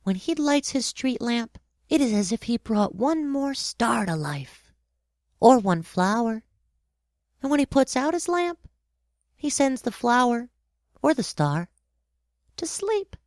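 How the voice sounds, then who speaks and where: A curious little boy prince, acted by a woman, innocent and earnest. The voice is fairly high and swoops expressively, with slow, deliberate storybook phrasing. It is recorded dry. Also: big pitch swings, a little mellow, dry recording. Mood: thoughtful. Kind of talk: acted storybook audio drama.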